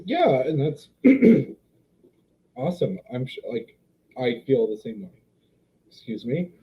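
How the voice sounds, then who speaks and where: A man's voice: mid-range voice, sing-song, slow pace, warm tone. A man in his twenties, a dog trainer guest on a casual podcast, who talks expressively but often hesitates to find his words. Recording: slightly dull lo-fi sound.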